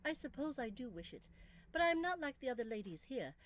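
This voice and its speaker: A woman's voice: high-pitched, very animated, fast talker, slight background noise, voice pushed up. A woman voicing a bold, melancholy young Victorian Englishwoman in a storybook, candid and earnest, with formal, emphatic phrasing.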